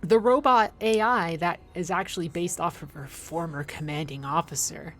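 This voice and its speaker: A woman's voice: lowish voice, moderate pace, fairly bright tone. A woman doing casual livestream game commentary, chatting informally with viewers and rambling into playful asides. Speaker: livestream host playing a video game.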